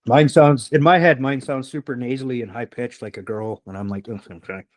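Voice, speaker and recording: A man's voice: medium pitch, a little fast, dry recording. Rambling man with a slight rural twang. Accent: slight rural Canadian twang. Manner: rambling, swears often, self-deprecating. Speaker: podcast host. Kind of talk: casual conversation.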